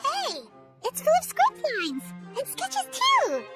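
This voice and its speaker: Squeaky, lively little fairy companion. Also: female voice, takes it slowly, loud music behind the voice, exaggerated voice. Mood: curious. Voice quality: squeaky, childlike. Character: small childlike female fairy companion, earnest and curious. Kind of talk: anime game voice acting.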